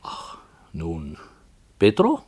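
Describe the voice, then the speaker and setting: Low, unhurried playwright. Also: male voice, huge pitch swings, creaky, darkish tone, slightly rough, exaggerated voice. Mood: conceding. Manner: deliberate, formal. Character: measured, patient radio playwright defending his parable. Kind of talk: classic radio play acting.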